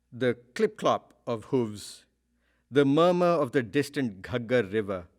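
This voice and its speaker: A man acting as an eloquent storyteller in a literary audio drama, narrating in a measured, ornate way. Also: mid-range voice, lightly acted. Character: eloquent male storyteller recalling a scenic journey with wonder. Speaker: voice actor.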